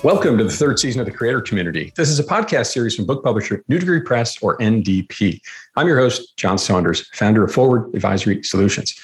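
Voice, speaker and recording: A man hosting an interview podcast with a lively, animated delivery that rises and falls freely. He reads his introduction smoothly before turning to his guest. Faint music plays softly beneath him. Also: lowish voice, fast. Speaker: podcast host. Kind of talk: scripted intro then interview.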